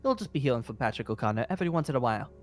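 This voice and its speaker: A man's voice: mid-range voice, animated, very fast, faint music behind, natural voice. A man playing a genial, rambling guild master mage in an improvised game roleplay, speaking politely and hesitantly. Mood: friendly, rambling. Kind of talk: improvised Minecraft roleplay acting.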